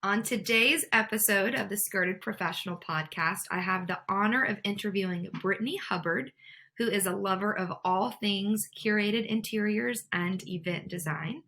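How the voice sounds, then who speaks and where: A woman's voice: mid-range voice, moderate pace. Upbeat podcast host woman. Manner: warm, encouraging, upbeat. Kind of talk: scripted intro then interview. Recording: intro music behind.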